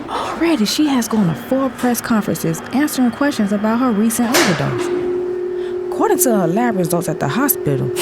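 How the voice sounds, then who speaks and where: A young American woman tells a story in the first person with lots of swing in her pitch. She is sassy and confiding, like someone sharing gossip. Music plays clearly behind her. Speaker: first-person narrator of an audio drama. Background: clear background music.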